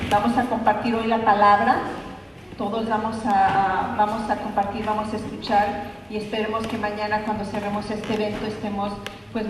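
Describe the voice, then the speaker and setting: A woman hosting a community gathering, welcoming the audience in excited, upbeat remarks. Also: mid-range voice. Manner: excited, upbeat, addresses the crowd. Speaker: event host. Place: large indoor event hall. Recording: echoey hall, mic far off, room noise.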